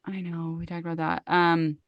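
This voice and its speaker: A woman's voice: on the low side, monotone, normal speed, no room sound, highs cut off. A woman co-hosting in casual chat, talking in a chatty way, laughing easily and sometimes losing her train of thought. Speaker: co-host.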